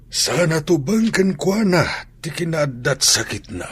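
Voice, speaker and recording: Older Ilocano family patriarch, radio drama. Husky, very animated, concerned and admonishing. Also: male voice, on the high side, unhurried, no echo, full character voice. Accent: Filipino, Ilocano. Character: concerned older father and grandfather, chiding, protective.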